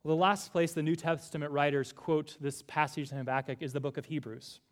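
Guy preaching to his congregation, earnest, lots of repeated lines, bit of a higher voice. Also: fairly even intonation, brisk. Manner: earnest, builds with repeated phrases. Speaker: pastor. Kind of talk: sermon. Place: church room before a congregation.

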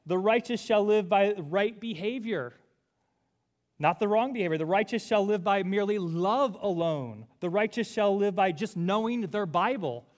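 A man's voice: high-pitched, animated, moderate pace. A male pastor preaching a sermon to a congregation in a church room, earnest and building through repeated phrases.

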